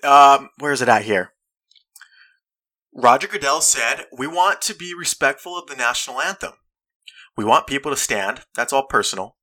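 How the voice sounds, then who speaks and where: A young man with a bright, forceful voice hosts a casual chat. He argues his side earnestly and stops to read quotes aloud. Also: mid-range voice. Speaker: podcast host.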